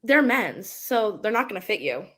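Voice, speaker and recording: Woman playing a lively, chatty shop clerk. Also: mid-range voice, moderate pace. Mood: curious, casual. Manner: casual, chirpy. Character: chatty, friendly young thrift store clerk. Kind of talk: dramatic script reading.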